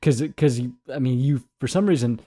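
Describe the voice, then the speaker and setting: Deadpan, mock-boastful young man. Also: mid-range voice, fairly quick. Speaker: podcast co-host. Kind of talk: casual chat.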